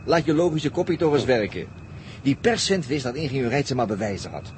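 A man's voice: mid-range voice, animated, brisk, natural voice. An actor playing a cocky, sardonic crewman in a 1960s radio drama, with a teasing way of talking. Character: cocky, sardonic male crewman of a daring team. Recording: faintly noisy 1960s radio recording.

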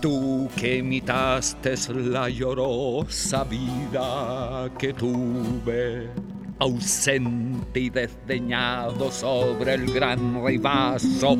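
A man solemnly reciting verse in a radio play, slowly and evenly. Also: mid-range voice, flat delivery, loud music behind the voice.

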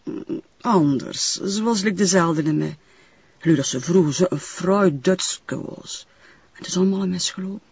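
Husky older West Flemish gossip. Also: female voice, fairly low pitch, unhurried, a little mellow, dry recording, exaggerated voice. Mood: confiding, gossipy. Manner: hesitant, chatty, trailing sentences with tag questions. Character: gossipy older working-class woman, guarded but nosy neighbour. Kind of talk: naturalistic television crime drama acting. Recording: dull, muffled TV soundtrack.

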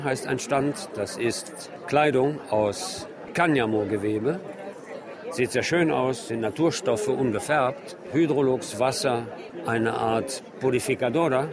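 A man working as a radio reporter, describing and interviewing on location in an unscripted, descriptive way. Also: mid-range voice. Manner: unscripted, descriptive, listing what is around. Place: large indoor exhibition hall at a fair. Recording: busy trade fair hall with crowd. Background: crowd murmur.

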